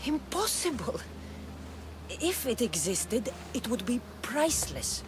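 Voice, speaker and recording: An actress playing a tough young mercenary in a video game, speaking in a blunt, wary, wry way. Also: female voice, fairly high pitch, big pitch swings, takes it slowly, background noise. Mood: skeptical, businesslike. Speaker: video game character actor. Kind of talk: dramatic video game dialogue. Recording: music playing under the dialogue.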